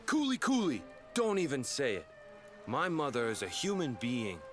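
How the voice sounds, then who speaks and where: A man's voice: medium pitch, wildly swooping pitch, normal speed. An actor playing a young village fisherman, a defensive, grieving grandson telling his family's history earnestly in a video game. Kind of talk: scripted video game voice acting. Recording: game music under the voice.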